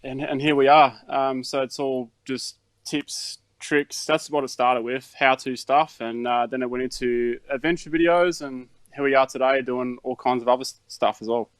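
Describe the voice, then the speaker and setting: A man's voice: medium pitch, fairly even intonation, normal speed, hardly any pauses, clear, resonant tone, no room sound. Laid-back Danish-born man telling stories. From Denmark. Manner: laid-back storytelling with fillers and chuckles. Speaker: interview guest, YouTuber. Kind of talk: casual chat.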